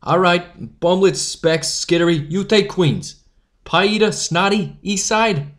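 A woman's voice: fairly low pitch, slow. A woman acting a cocky young male newsboy who leads a strike, talking in brash street slang in an amateur audio drama. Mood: rallying.

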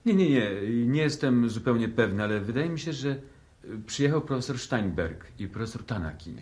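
A man's voice: mid-range voice, expressive, a little fast, darkish tone, close to an everyday voice. A man in a 1960s radio play acting a polite, formal servant who obligingly offers drinks. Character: polite, deferential male host serving drinks.

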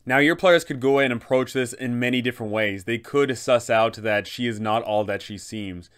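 Clear-voiced young man explaining. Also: mid-range voice, normal speed, fairly bright tone. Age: young adult. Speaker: host, game master advisor. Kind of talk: explanatory tabletop game commentary. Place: small furnished room indoors.

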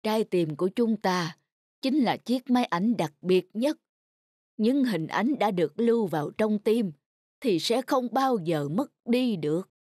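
A woman voicing a gentle, wistful old grandfather in a cartoon, telling things tenderly and wisely. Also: mid-range voice, animated, a little slow, no echo. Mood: gently advising. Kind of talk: cartoon dub.

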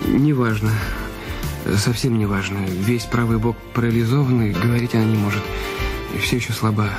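A man's voice: lowish voice, steady tone, moderate pace, close to an everyday voice. A man acting an anxious, guilty husband in a radio play, speaking in a hesitant, faltering, self-questioning way. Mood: nervous, evasive. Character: Raoul Duval, anxious, guilty husband with secrets. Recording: music bed under the voice.

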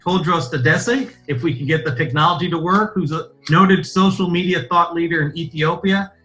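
A man's voice: fairly high pitch, normal speed, sharp tone, soft background music. A man moderating a webinar and reading speaker introductions, with some hesitation. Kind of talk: event introduction.

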